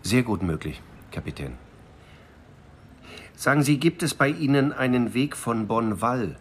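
An actor playing a calm, probing police commissaire with a measured, questioning manner in a radio crime drama. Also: male voice, mid-range voice, slightly rough. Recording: faint background ambience.

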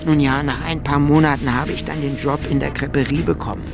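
A man's voice: on the high side, steady tone, fairly quick, gravelly, music in the background. An actor playing a dejected young Somali man who confides in his friend, solemn, earnest and plaintive, in a radio play. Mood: sad, confessional.

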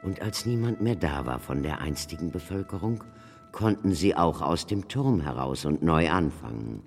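An actor playing a grave, learned scholar in a radio play, explaining things in a measured, lecturing manner. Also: male voice, extremely low pitch, lively intonation. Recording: long echoing reverb, faint music.

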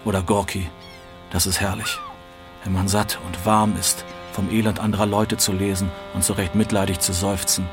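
An actor playing a hungry, freezing, bitterly weary war returnee in a radio drama, speaking in terse, dramatic phrases. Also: male voice, deep voice, little pitch movement, normal speed, lightly acted. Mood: longing, bitterly weary. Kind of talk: German stage-style radio drama acting. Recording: music behind the voice.

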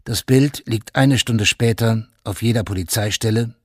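A man's voice: fairly low pitch, moderate pace, slightly rough, dry recording, studio quality. A man narrating a scripted radio drama in a sober, factual manner, in short plain statements.

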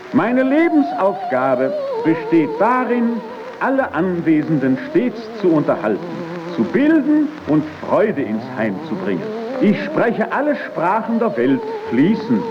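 An actor playing a cheeky, boastful gramophone, declaiming its own praises like a showman on an early promotional record. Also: medium pitch. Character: boastful, cheeky gramophone proud of its good breeding. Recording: dull old gramophone record with music.

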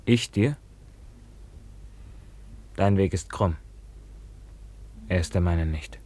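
An actor playing an upright, disillusioned soldier son in a 1950s German radio drama, speaking with solemn declamation. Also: male voice, low voice, slow, mellow. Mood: sorrowful reproach.

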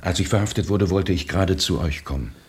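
A man's voice: low-pitched, brisk, background noise, close to an everyday voice. A man playing an embittered, uncompromising revolutionary in a radio play, terse and stern. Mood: guarded. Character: embittered, uncompromising male revolutionary back from prison.

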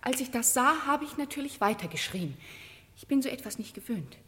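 An actress playing a prim chambermaid testifying with breathy, formal melodrama in a parody crime radio play. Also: female voice, high voice, expressive, brisk, sharp tone. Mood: hushed horror. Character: prim, dramatic young chambermaid giving testimony. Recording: cavernous reverberant radio-play sound.

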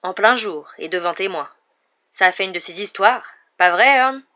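A woman's voice: mid-range voice, expressive, normal speed, natural voice. A smug young male bus conductor from a storybook, as played by a woman narrator. He is chatty and dramatic, forever fishing for agreement with little tag questions. The sound is slightly muffled. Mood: gossipy.

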